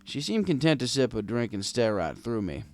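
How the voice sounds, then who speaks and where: A man's voice: mid-range voice, sing-song, normal speed, a little mellow. A man reading a first-person story in a wry, conversational storytelling manner. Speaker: fan fiction reader.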